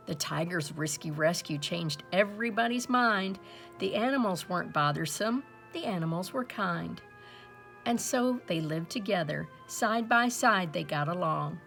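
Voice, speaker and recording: Female picture book reader, expressive rhyming narration, medium pitch over soft background music. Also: gravelly. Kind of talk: expressive rhyming storybook read-aloud.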